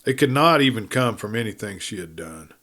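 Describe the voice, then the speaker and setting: Measured male western storyteller. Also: fairly low pitch, expressive, fairly bright tone. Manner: measured, deliberate storytelling with pauses. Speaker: audiobook narrator. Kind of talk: dramatic scripted narration of a western novel.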